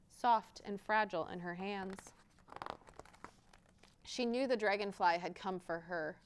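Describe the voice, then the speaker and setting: A woman hosts a storytime for young children. Her voice is smooth and clear, sitting at a medium pitch. She reads expressively and talks straight to her little listeners. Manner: expressive, addresses children directly. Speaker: library storytime host and children's reader. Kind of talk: picture-book read-aloud with interactive rhymes for young children.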